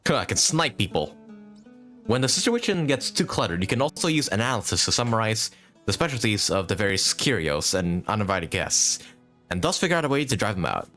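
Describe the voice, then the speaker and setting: Guy streaming a game, deep-ish voice that swings around a lot, thinks out loud, music behind him. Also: sing-song, fairly quick. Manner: thinks aloud, swears casually. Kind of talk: casual live gameplay commentary.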